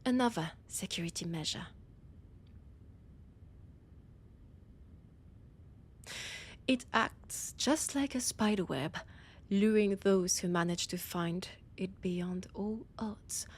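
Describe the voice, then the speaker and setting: A woman playing a possessive vampire scholar with a French accent, in a theatrical, breathy audio roleplay monologue with dramatic pauses. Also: mid-range voice, slight background noise, lightly acted. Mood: proud, ominous. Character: annoyed, possessive female vampire scholar, ancient library guide.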